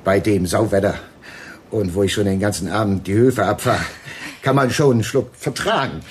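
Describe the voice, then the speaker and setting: An actor playing a folksy, apologetic small-town police sergeant in a radio drama, with a hesitant, roundabout way of talking. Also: male voice, on the low side, normal speed, a little hiss or hum, lightly acted. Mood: chatty. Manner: hesitant, roundabout, colloquial, chatty.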